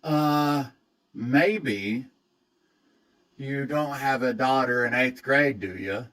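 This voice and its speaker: Comedy skit client male actor: medium-pitched man, low-key, a bit creepy, awkward short replies. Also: extremely slow, fairly bright tone, rough recording. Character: laid-back, creepy male massage client.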